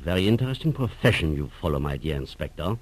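Low-voiced suave old professor villain. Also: male voice, sing-song, darkish tone, heavily acted, rough recording. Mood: sly mockery. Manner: over-polite, oily, drawn-out hesitant phrasing. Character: suave elderly professor, falsely genial villain, faintly mocking. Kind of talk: 1940s radio drama acting.

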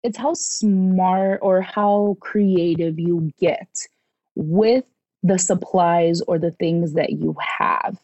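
A woman in her twenties, a podcast guest in casual chat, animated and swearing now and then as she acts out what people said. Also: lowish voice, takes it slowly, dry recording, lo-fi sound. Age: 20s.

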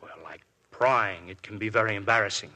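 A shrewd, probing police inspector. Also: male voice, on the low side, a little fast, pushed and bright. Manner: probing, smoothly persistent questioning. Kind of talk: 1950s radio drama acting.

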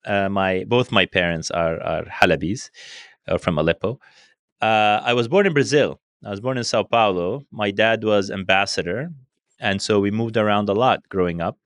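Male interview guest, low voice in a dry recording, native Arabic speaker from Syria, conversational, halting and repetitive as he thinks. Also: fairly bright tone, no room sound. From Syria, raised partly in Spain. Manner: halting, repeats words as he thinks.

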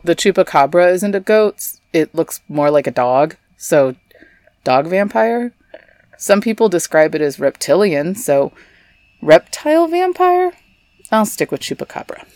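A woman's voice: low-pitched, darkish tone, no room sound. Wry woman podcast host. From Texas. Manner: chatty, wry asides. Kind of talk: scripted storytelling podcast.

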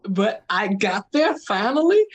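Expressive woman with lively laughter. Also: measured pace, husky. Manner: expressive, jumps into high-pitched quoted voices, laughs often. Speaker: guest, meditation guide. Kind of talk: animated conversational storytelling.

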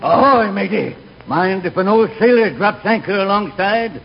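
A salty old skipper in a 1940s radio comedy. His voice is high and gravelly, full of nautical bluster. The recording is dull and faintly noisy. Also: expressive, moderate pace. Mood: hearty, salty. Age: older. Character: gruff, cruel old sea captain, salty and tyrannical.

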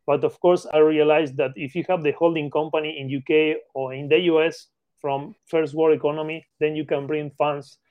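Argentine man, eager, halting English. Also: a little high, fairly even intonation, no echo, narrow, tinny audio. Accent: Argentine Spanish. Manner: eager, repeats phrases, halting non-native English. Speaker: guest, M&A expert. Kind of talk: casual interview talk.

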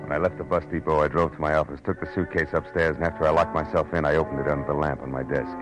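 A man's voice: rumbling low, flat delivery, very fast, rough recording. An actor playing a wry, world-weary private detective, terse and sardonic, telling his case in a 1940s radio drama. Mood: grim narration. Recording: vintage radio drama with music.

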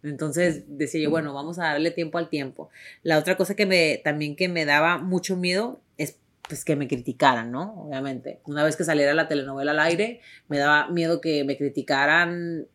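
A woman's voice: low-pitched, steady tone, moderate pace. A young woman from Tijuana with a northern Mexican accent, telling her story to viewers in a chatty, emphatic way full of fillers. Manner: chatty, warm and emphatic, many fillers and restarts. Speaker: actress.

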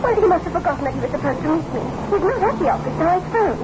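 A worried devoted wife, high earnest voice, old radio drama, very noisy. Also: female voice, lively intonation, rapid-fire, echoey room, over a noisy crowd. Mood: anxious, pleading. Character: anxious, devoted wife fearing for her husband. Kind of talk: 1960s radio horror drama acting.

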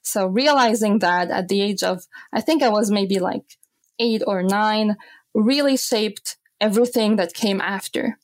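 A woman's voice: mid-range voice, a little slow, dry recording. Reflective woman. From Austria. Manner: reflective, thoughtful, with fillers like "you know". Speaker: guest. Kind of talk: conversational interview.

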